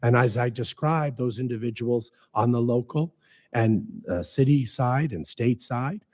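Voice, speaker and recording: A man with a hoarse voice from a cold, giving a formal, earnest speech as guest speaker at a veterans breakfast in a council chamber. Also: medium pitch, unhurried, darkish tone, dry recording. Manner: earnest, ceremonial, with light humor.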